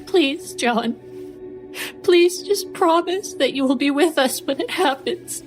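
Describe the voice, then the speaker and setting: High-pitched, slow, pleading mother, acted by a woman. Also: faint music behind. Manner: pleading, dazed. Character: pleading, frightened, subdued mother. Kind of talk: dramatic horror audio story.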